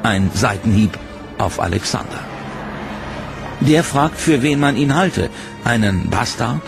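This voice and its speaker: A man narrating a history documentary from a script, in a measured, dramatic way. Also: mid-range voice, animated, creaky, over music.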